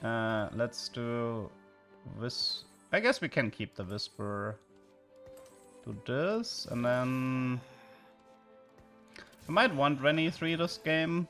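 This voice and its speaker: A man from southern Germany with a German accent, a streamer chatting with viewers and commenting on his game, with sudden excited outbursts. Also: medium pitch, slow, pauses often, soft background music.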